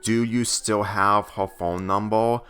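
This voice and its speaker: A caring teenage girl from a story, read by a man in a lowish voice. The delivery is soft and sincere, with faint music behind it. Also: fairly even intonation, slow pace, smooth. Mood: curious.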